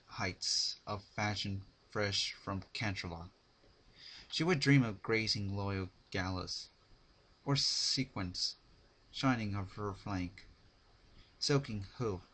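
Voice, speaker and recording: A man giving a dramatic scripted story narration, phrased deliberately in short, halting chunks. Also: lowish voice, pushed and bright, rough recording.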